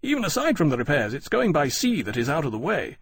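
A man's voice: a little high, wildly swooping pitch, fast, slightly rough, dry recording, studio quality, voice pushed up, heavily acted. A man voicing a hot-headed, urgent British military officer in an audiobook, arguing emphatically in period-formal speech. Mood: urgent, argumentative.

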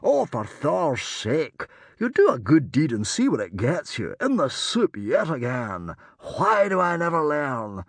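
A man's voice: medium pitch, sing-song, normal speed, fairly bright tone, no room sound, clean studio sound. A man voicing a self-important middle-aged Viking hero, breezy, protesting and easily exasperated, in a storybook.

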